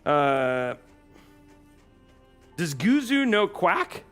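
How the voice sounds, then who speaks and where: A man's voice: high voice, big pitch swings, very slow, a bit bright, soft background music. A young man hosting a casual live stream while building a PC, playful and teasing with his co-host.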